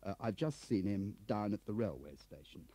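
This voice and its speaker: A man's voice: on the low side, lively intonation, mellow, husky, dry recording, pitched above the performer's own voice. A man voicing a flustered, bumbling upper-class British colleague who halts and stammers through an audiobook scene. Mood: flustered, hesitant. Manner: halting, stammering with many ums.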